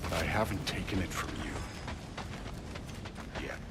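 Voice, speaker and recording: Deep, gravelly, brutish Norse god. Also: male voice, a little slow, heavy background noise. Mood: menacing. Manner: gruff, mocking, profane. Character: brutish, menacing, hard-drinking Norse thunder god, middle-aged man. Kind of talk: video game cinematic acting.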